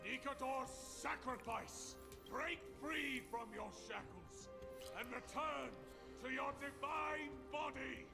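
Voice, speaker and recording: An actor playing a solemn invoker calling on a fallen god in a fantasy game, with declamatory delivery. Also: male voice, very high-pitched, creaky, over loud music, exaggerated voice. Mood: solemn, commanding. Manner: slow, declamatory. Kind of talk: theatrical fantasy game incantation.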